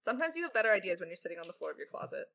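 A talkative woman speaking as a podcast writer in a casual Q&A, reflective and halting, with self-deprecating asides. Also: lowish voice, sing-song, creaky, fairly bright tone. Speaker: podcast lead writer answering questions. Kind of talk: casual creator Q&A.

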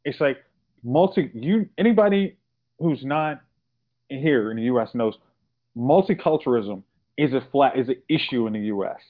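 A man's voice: mid-range voice, animated, normal speed. A man co-hosting a casual podcast, rambling through long explanations with many restarts. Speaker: podcast co-host. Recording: tinny phone-like sound.

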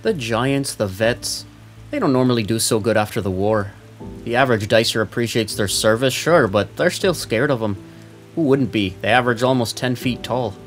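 A man's voice: medium pitch, lively intonation, moderate pace, faint music behind, natural voice. A man playing a brusque, wisecracking veteran police sergeant in a noir audio drama, slangy and no-nonsense. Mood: wry, friendly.

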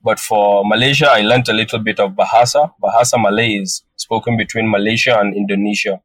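A man's voice: fairly low pitch, steady tone, brisk, fairly bright tone, dry recording. A man from northern Nigeria whose first language is Hausa, answering interview questions thoughtfully and often restarting his phrases. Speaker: guest photographer.

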